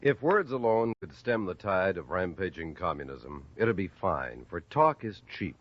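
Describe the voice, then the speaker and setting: A man's voice: sits low, animated, a little slow, dull audio. An American man reading a scripted 1950s radio announcement in a declamatory, emphatic way.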